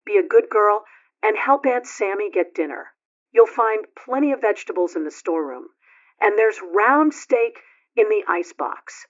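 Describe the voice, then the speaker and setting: Woman playing a kindly mother, medium pitch, gentle, instructing, old radio drama, slightly muffled lo-fi sound. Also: expressive, normal speed, close to an everyday voice.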